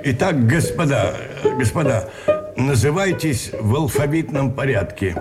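A man plays a courteous, orderly colonel in a radio play. His pitch swings expressively as he formally takes charge, and loud music plays behind him. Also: lively intonation, moderate pace, lightly acted. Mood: polite, organizing. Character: courteous, orderly colonel among war prisoners. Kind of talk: Russian radio drama acting.

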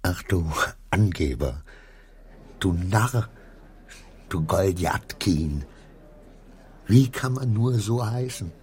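An actor in a radio play playing a flustered, proud, insecure minor clerk who rambles between pompous declarations and muttering. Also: male voice, low-pitched, animated, slow, long pauses, darkish tone, husky, heavily acted. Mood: muttering, self-pitying.

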